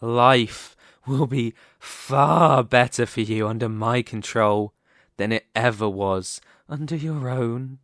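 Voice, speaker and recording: A male actor voices a seductive male demon king in an intimate ASMR roleplay. He takes his time over each phrase, patient and possessive, with smug condescension. His mid-pitched voice sits close to the microphone in a very dry space. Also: fairly bright tone, no echo. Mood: seductive, persuasive. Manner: slow, deliberate, teasing, condescending. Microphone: close studio microphone, dry.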